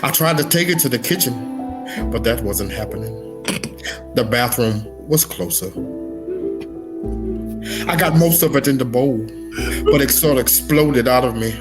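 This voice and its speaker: A man putting on a solemn, grave narrator for a mock-dramatic comedy reading, speaking in a slow, deliberate way. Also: medium pitch, over loud music, lightly acted. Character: solemn dramatic narrator parodying a famous actor, recounting a mishap.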